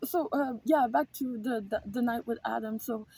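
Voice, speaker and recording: A woman with a slightly husky voice tells a personal story to camera. She is emphatic and repeats herself, and she swears freely as she talks. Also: fairly high pitch, normal speed, dark tone. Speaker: spiritual vlogger. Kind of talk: casual storytelling monologue.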